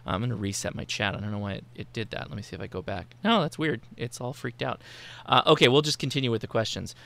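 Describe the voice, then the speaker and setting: A man's voice: mid-range voice, lively intonation, quick pace, vocal fry, fairly bright tone. An American man in his thirties hosting a livestream casually, reading out viewer questions on a close mic. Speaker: livestream host. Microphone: close mic on boom arm, with headphones.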